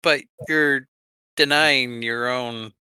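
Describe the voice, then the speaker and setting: A man's voice: mid-range voice, steady tone, slow, sharp tone, clean tone, no echo, clean studio sound. A man co-hosting a podcast, chatting in an informal, rambling and jokey way. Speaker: podcast co-host. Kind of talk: casual podcast chat.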